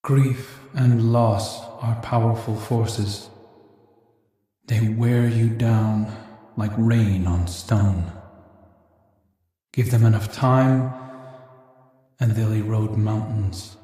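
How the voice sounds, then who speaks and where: An actor playing a gentle, brooding, philosophical hero in a video game, speaking in a soft, measured, pensive way. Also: male voice, on the low side, fairly even intonation. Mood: somber. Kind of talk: dramatic video game monologue. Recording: very echoey, reverberant sound.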